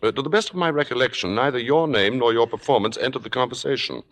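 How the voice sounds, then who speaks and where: A man's voice: mid-range voice, a bit bright, natural voice. A man playing an urbane, needling theater sophisticate in an old radio drama, pompous and droll, with pointed hesitations. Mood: sly, feigned hesitancy. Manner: pompous, droll, deliberate stammering pauses. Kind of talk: 1950s radio drama acting. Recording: reverberant vintage radio recording.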